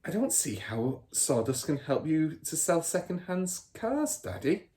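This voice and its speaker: Man putting on a high-pitched, inquisitive little girl. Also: very animated, a little slow, warm tone. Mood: curious. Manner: questioning, innocent. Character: clever young daughter, curious, politely challenging her father.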